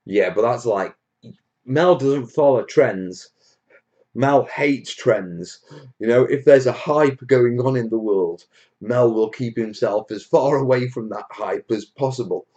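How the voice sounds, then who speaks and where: A man's voice: medium pitch, a little slow, warm tone, muffled recording. A man from Wales hosting a casual live Q&A stream, chatting to viewers in a blunt, jokey, matey way. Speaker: host of a hobby livestream.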